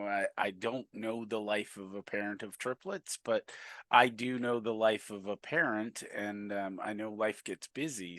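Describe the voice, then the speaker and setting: A man's voice: mid-range voice, pushed and bright, no echo. A man hosting a podcast, asking friendly, encouraging questions. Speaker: podcast host. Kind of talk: podcast interview.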